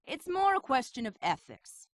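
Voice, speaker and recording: Assured female professional, animated delivery, dry close sound, game cutscene. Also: high-pitched, normal speed, no echo. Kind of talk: video game cutscene acting.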